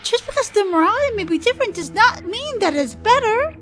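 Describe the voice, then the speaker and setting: A woman's voice: extremely high pitch, lively intonation, brisk, over music. A woman acting in an audio drama as an earnest, moral young travelling companion, emotive and easily alarmed. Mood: alarmed, indignant.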